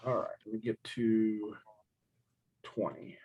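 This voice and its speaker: A man teaching a math class, hoarse and raspy from a sore throat, walking through problems step by step with many ums and pauses. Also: fairly low pitch, little pitch movement, highs cut off. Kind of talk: classroom lecture walkthrough.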